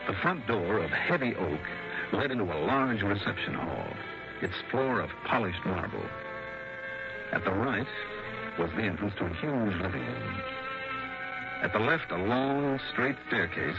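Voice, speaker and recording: A cool, skeptical detective in a 1960s radio drama. His low voice is clipped yet very varied in pitch. The recording is muffled, with music behind it. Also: lively intonation, normal speed. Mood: cool, narrating. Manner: clipped, probing, dry. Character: cool, skeptical male private investigator. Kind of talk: 1960s radio drama acting, first-person narration.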